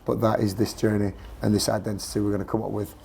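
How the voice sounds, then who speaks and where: A man, a football manager speaking after a match with a croaky voice, earnest and repeating himself as he searches for words. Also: on the low side, level tone, quick pace, warm tone, a little hiss or hum. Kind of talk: post-match press interview.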